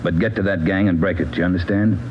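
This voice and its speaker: A man playing a gruff, demanding American police chief in a 1940s radio drama, curt and commanding. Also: sits low, fairly even intonation, fast talker, highs cut off, a little hiss or hum, lightly acted. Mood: stern, urging. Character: gruff, demanding male police chief pressing his men. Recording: band-limited 1940s radio recording, faint music.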